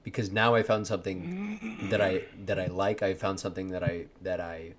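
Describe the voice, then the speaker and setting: Low-voiced young man, rambling and earnest. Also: expressive, moderate pace. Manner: rambling, earnest, swears often, laughs a lot. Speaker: content creator. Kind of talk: casual chat.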